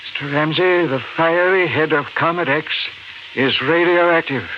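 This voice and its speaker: Actor as a weak, grave wounded professor. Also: male voice, a little high, close to an everyday voice. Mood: grave, urgent. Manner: halting, earnest. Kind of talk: 1950s radio thriller acting. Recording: noisy lo-fi 1950s radio recording.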